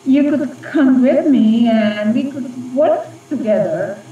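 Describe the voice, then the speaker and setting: Echoey, slow, seductive Russian spy ghost. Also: female voice, fairly high pitch, dark tone. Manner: purring, taunting. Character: seductive, scheming female Russian agent ghost. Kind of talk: radio play acting. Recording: dull, echoey, distant, slightly noisy recording.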